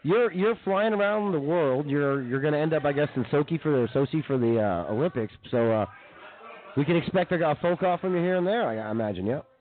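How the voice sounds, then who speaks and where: Narrow, tinny audio carries a man co-hosting a comedy radio show. His voice sits a bit high and he rambles quickly in slangy, informal chat. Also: lively intonation. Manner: laid-back slangy, often says dude and man. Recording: tinny narrow phone-like sound.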